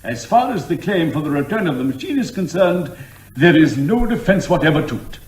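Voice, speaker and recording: An actor playing an impatient, scathing judge in a British comic radio drama, with an English accent and a formal, curt manner. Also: male voice, fairly high pitch, big pitch swings. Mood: scathing, deliberate. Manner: deliberate, formal, dry, curt. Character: brisk, impatient, dryly scathing male judge. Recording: roomy, echoing courtroom-like sound.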